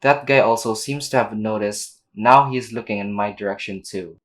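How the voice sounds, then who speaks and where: Very low-voiced woman game streamer. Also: moderate pace. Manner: slangy, reactive. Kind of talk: casual let's play commentary, reading dialogue aloud. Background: faint game music.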